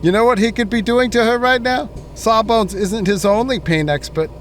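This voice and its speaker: A man's voice: sits high, moderate pace, a little hiss or hum, natural voice. A man playing a cruel, taunting gangster henchman interrogator in a noir audio drama, talking in tough-guy slang. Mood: threatening.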